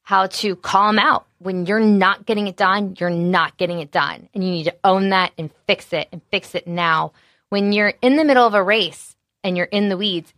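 Loud-voiced woman chef chatting away, bright punchy tone, dry close sound. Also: mid-range voice, dry recording. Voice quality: naturally loud voice. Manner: animated, candid, many fillers. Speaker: executive chef. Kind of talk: casual interview conversation.

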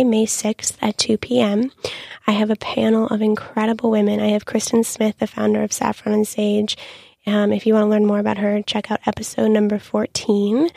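A young woman hosting a podcast in a casual, chatty monologue, her voice raspy and full of ums. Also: mid-range voice, a little mellow, no echo, clean studio sound. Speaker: podcast host.

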